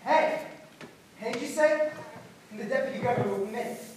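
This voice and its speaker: A woman's voice: medium pitch, lively intonation, moderate pace, close to an everyday voice. A woman playing a stern, commanding farmer and husband, using old-fashioned speech. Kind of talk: stage play performance. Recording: echoey, far-off stage audio with background noise.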